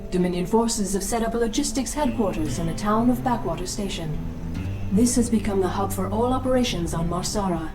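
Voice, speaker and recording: A female computer adjutant from a war game, voiced by an actress, reports formally and without emotion. Her clipped voice sits a little away from the mic. Loud music runs underneath. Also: mid-range voice, a little fast, recorded from a distance, lightly acted. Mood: impassive. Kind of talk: video game mission briefing.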